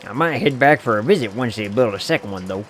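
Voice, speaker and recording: A man voicing a friendly, teasing hobo drifter with a folksy, unhurried way of talking, reading a game character's lines aloud. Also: medium pitch, animated, slight background noise, lightly acted.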